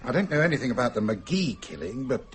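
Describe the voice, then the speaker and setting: An actor playing a well-informed gentleman passenger in a radio drama, recounting facts in a matter-of-fact way. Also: mid-range voice, big pitch swings, fast, slight background noise, natural voice.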